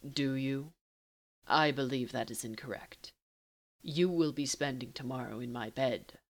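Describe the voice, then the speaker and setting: Woman voicing a low, hushed, commanding male lover. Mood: low, commanding, teasing. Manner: formal, measured, softly teasing.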